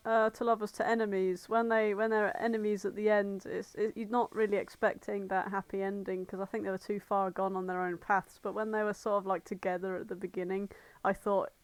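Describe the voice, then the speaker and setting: A woman's voice: medium pitch, flat delivery, a little fast, few pauses, clear, resonant tone, no room sound, poor audio. Woman on a fan podcast, chatty and opinionated, bit sweary, dry mic. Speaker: podcast co-host. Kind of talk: casual chat on a fan podcast.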